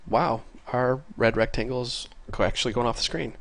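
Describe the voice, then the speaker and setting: A man with a congested voice from a cold, casually talking through a programming tutorial and getting excited over small things. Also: medium pitch, normal speed. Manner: casual, easily excited, chatty. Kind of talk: screen-recorded coding tutorial. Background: faint keyboard typing.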